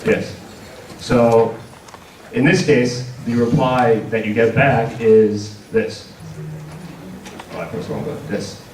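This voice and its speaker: A man giving an informal convention panel presentation in a hesitant, halting way. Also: mid-range voice, steady tone. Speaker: panel presenter for a game publisher. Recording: distant mic in echoey, noisy room.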